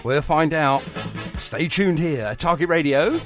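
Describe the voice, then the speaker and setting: A man's voice: on the high side, a little slow. A British man hosting a radio show in an informal, chatty, jokey way with self-deprecating asides. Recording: music behind the voice. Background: music.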